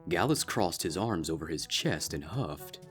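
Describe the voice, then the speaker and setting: A man's voice: low-pitched, animated, a little slow, soft background music. A man reading a scripted fan fiction story aloud as its narrator.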